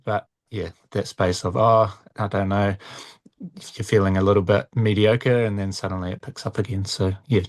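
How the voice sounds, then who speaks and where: Man, lowish voice, dry sound, podcast co-host, casual, reflective, meandering. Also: no echo.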